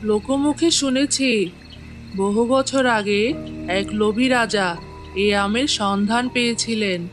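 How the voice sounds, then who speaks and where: A woman's voice: fairly high pitch, fairly even intonation, unhurried, natural voice. Elderly cartoon grandmother, played by a woman, caring, grave storyteller who warns, light music underneath. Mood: grave storytelling. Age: older. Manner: admonishing, storytelling. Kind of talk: animated moral-tale cartoon acting.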